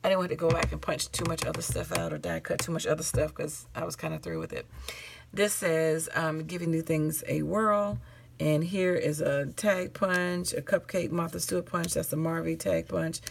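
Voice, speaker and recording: A woman hosting a crafting video, chatting casually with a raspy, tired late-night voice and rambling, self-correcting asides. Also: sits low, fairly even intonation, normal speed, slight background noise. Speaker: crafting channel host. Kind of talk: casual show-and-tell crafting chat.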